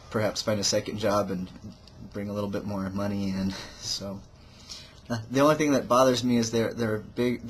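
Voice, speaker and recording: A man talking casually to his camera at home in a personal video diary, hesitant, with restarts and soft laughs. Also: lowish voice, slight background noise. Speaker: vlogger.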